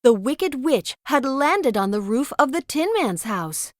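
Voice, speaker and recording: Animated woman reading a children's storybook. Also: high-pitched, measured pace, dry recording, clean studio sound. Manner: expressive, dramatic storytelling. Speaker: storybook narrator. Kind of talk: read-aloud scripted children's narration.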